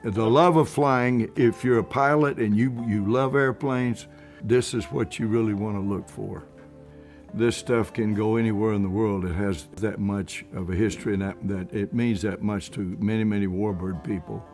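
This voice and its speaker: Casting: male interviewee, lowish voice, earnest and halting enthusiast, faint guitar music underneath. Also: steady tone, a little mellow. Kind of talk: unscripted interview talk.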